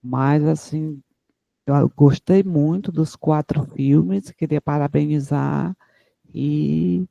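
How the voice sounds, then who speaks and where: A woman with a Cearense accent speaks slowly and haltingly, with many fillers. Her voice is gravelly, dark and set a little high. The sound is dry. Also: dry recording, lo-fi sound. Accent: Cearense Brazilian Portuguese. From Fortaleza, Ceará, Brazil. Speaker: audience member and researcher. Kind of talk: spontaneous remarks at a panel.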